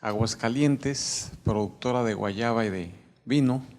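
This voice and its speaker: A man from Mexico introducing himself in a relaxed way at a panel, heard from a distance. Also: medium pitch, a little slow. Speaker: audience participant. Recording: distant in a hall.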